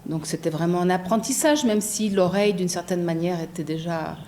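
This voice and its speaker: Thoughtful woman translator, mellow voice. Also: lowish voice, brisk, slight background noise. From France. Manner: thoughtful, many fillers, frequent tag "non?". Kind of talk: interview answers.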